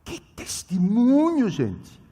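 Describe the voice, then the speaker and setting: Man, non-native speaker, sermon, slow and emphatic, restarts words, long hall echo. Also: wildly swooping pitch, breathy edge. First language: not Portuguese. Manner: emphatic, swings between loud high exclamations and low quiet asides; halting, repeats and corrects words mid-phrase. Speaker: preacher at a children's ministry conference. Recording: echoey hall reverb.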